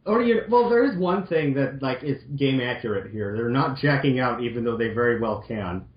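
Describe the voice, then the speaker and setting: A man's voice: mid-range voice, expressive, moderate pace. A young man chatting casually as a commentator, nerdy and nitpicking, with an exasperated manner. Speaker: co-reader and commentator. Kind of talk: casual nerdy joking chat. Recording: dull, echoey home recording.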